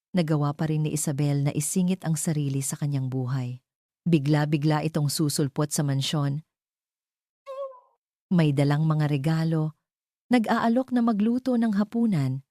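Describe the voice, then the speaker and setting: Low-pitched Filipino woman narrating a story. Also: mellow, no room sound, clean studio sound. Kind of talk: scripted dramatic story narration.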